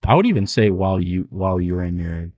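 A man's voice: low-pitched, expressive, fast, narrow, tinny audio. A young man hosting a podcast, chatting casually with a friend, joking around and laughing often. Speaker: podcast host.

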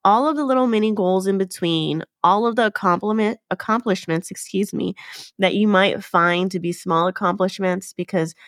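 A woman's voice: mid-range voice, moderate pace, no room sound, clean studio sound. Nasal-sounding woman with a cold. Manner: chatty and upbeat, fillers and self-corrections. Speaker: podcast host. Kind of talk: casual solo podcast talk.

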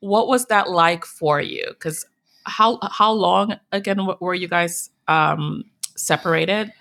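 A woman hosting an interview, asking questions gently and encouraging her guest with frequent affirmations. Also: on the low side, takes it slowly, vocal fry. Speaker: host. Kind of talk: interviewer in casual conversation.

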